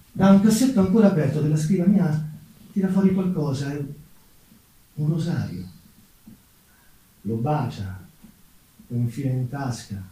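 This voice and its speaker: Casting: male stage narrator, fairly high mellow voice, dramatic storytelling with deliberate pauses, in a very reverberant space. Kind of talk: theatrical narrative monologue. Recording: very echoey room, distant mic, faint noise.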